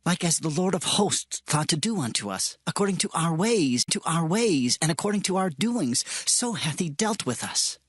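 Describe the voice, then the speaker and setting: A man's voice: fairly high pitch, very animated, normal speed, hardly any pauses, fairly bright tone, breathy edge, dry recording, studio quality, full character voice. An actor playing a penitent man of ancient times, confessing in a declamatory scripture-drama style. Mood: contrite.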